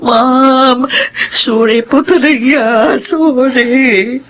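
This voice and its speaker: A woman's voice: sits high, drawn-out words, a bit bright, heavily acted. A woman with a Filipino accent playing a guilty, defensive housemaid in a radio drama, whiny and pleading. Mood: tearful apology.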